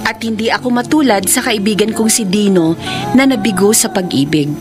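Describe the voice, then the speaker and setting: A woman's voice: mid-range voice, brisk, close to an everyday voice. An actress playing a cheerful, eager young provincial woman in a Filipino radio drama. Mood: reflective. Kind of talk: Filipino radio drama acting and letter narration. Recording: radio drama with music underneath.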